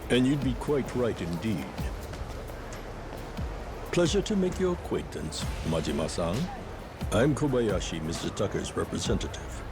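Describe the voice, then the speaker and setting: An actor playing a courtly, self-assured old martial artist turned lawyer who speaks in formal, polite, wordy phrases. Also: male voice, lowish voice, big pitch swings, unhurried, pauses often, music in the background. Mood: courteous.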